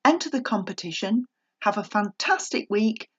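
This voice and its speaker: A woman's voice: medium pitch, animated, moderate pace, dull audio. A middle-aged woman hosting a podcast, speaking in a chatty presenting style. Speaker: podcast host.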